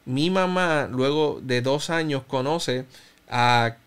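A Cuban-born man in his thirties speaks slowly, pausing often and restarting his phrases. His voice is smooth and clear, at a middle pitch, with a light Cuban Spanish accent. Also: a bit bright. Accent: Cuban Spanish, softened by Puerto Rico. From Cuba. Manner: reflective, halting, many restarts and fillers. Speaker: interview guest. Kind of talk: personal testimony in conversation.

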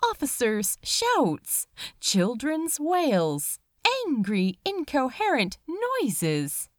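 Slow, expressive woman narrating a story. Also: mid-range voice, no room sound, clean studio sound. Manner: measured, expressive storytelling with dramatic pauses. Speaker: amateur audiobook reader. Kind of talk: dramatic scripted narration of fan fiction.

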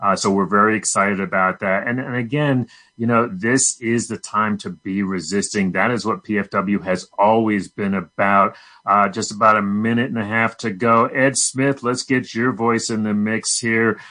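A man with a lowish voice and a bright, forceful edge hosts a live radio talk show. He makes his pledge-drive appeal emphatically, speaking straight to the listeners. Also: fairly even intonation, normal speed.

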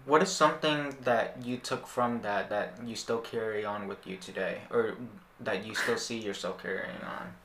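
A young American man in his late teens hosting a casual podcast interview, speaking haltingly with stammered repeats. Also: on the low side, background noise. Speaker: podcast host. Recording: roomy, echoing indoor sound.

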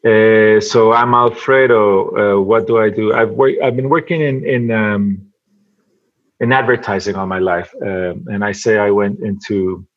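A man from Venezuela, speaking English as a guest on a podcast, halting and repeating words as he thinks aloud. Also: fairly low pitch, fairly even intonation, muffled recording. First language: Spanish. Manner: halting, many repeated words, soft laughs. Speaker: podcast guest, advertising creative. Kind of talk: casual interview chat.

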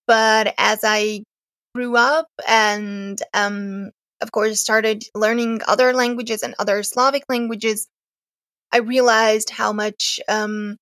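A woman's voice: on the high side, level tone, slow pace, fairly bright tone, no echo. A young woman from Serbia, a native Serbian speaker, chatting as a podcast guest, hesitant and often laughing. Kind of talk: casual interview chat.

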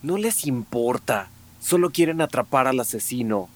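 A man's voice: medium pitch, animated, normal speed, close to an everyday voice. An actor playing a conciliatory, pleading male suspect in an audio drama. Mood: alarmed.

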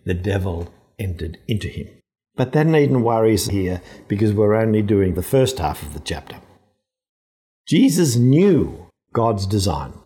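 Male scripture teacher, low and very mellow, deliberate and emphatic, explaining a gospel passage. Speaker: priest and scripture professor giving a gospel reflection. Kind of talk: explanatory religious teaching, verse by verse.

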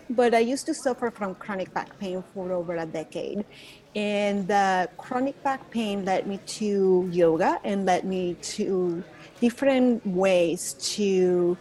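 A woman's voice: medium pitch, a little mellow. A woman from Venezuela with a Venezuelan accent, Spanish her first language, talking earnestly as a podcast guest about her path to teaching yoga. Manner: earnest, reflective, with restarts and laughs. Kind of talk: casual interview.

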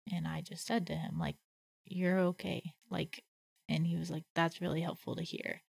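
A woman's voice: on the low side, little pitch movement, moderate pace, creaky, husky. A woman co-hosting a casual faith conversation, reflective and thinking aloud with many "like" fillers. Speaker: podcast co-host.

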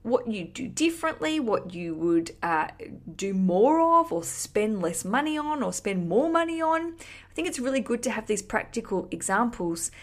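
A woman's voice: fairly high pitch, big pitch swings, moderate pace. A woman hosting a podcast, chatting casually and effusively to listeners in a cheeky, sweary, rambling way. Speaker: podcast host.

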